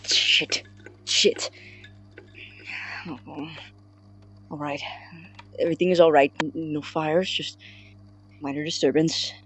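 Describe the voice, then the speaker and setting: A woman playing an obsessive, defiant young male student scientist in an audio drama, with intense formal diction and a husky, breathy voice. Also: low-pitched, fairly even intonation, takes it slowly, long pauses, fairly bright tone, slight background noise. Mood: tense, controlled. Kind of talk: audio drama acting, recorded-diary narration.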